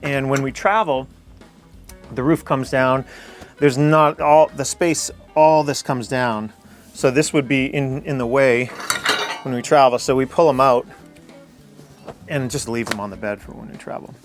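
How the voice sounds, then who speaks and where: A man with an average-pitched voice explains his home as its owner. His delivery is a casual, conversational house tour, with soft music underneath. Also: fairly even intonation, measured pace, long pauses. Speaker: homeowner giving a house tour.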